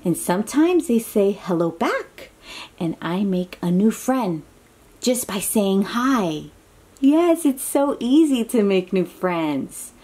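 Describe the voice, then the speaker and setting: Slow, animated woman reading to young children. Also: mid-range voice, husky. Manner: encouraging, exclaiming, asks the listener questions. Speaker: children's picture book reader. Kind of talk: read-aloud storytime for young children, with chatty asides.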